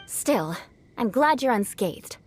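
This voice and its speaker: A woman's voice: fairly high pitch, animated, slow pace, faint music behind. A woman voicing a proud, haughty, vengeful young noblewoman knight in an anime video game, formal and theatrical. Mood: cool, amused.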